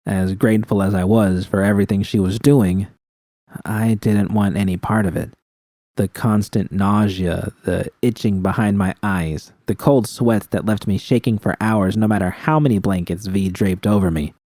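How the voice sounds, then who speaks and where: Low, mellow man narrating fiction. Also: steady tone, normal speed, no echo. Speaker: audiobook narrator. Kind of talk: scripted first-person fiction narration.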